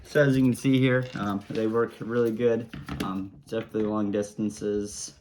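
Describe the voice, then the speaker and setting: A man's voice: on the low side, steady tone, normal speed, darkish tone, slight background noise. A man giving a casual product demonstration, explaining simple steps in an easygoing, informal way. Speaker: product reviewer.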